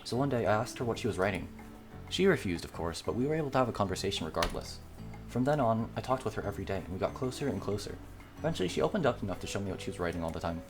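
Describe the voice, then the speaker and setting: A man's voice: fairly low pitch, lively intonation, racing, music in the background. A man voicing a friendly, teasing young demi-human girl, a loyal best friend in a visual novel, in an easygoing, fond way.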